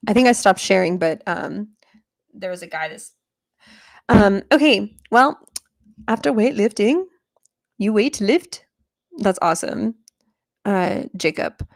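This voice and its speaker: A young adult woman chatting casually on a livestream, rambling with many fillers, her voice lower than usual today. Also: expressive, slow, vocal fry, pauses often. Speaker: streamer answering chat.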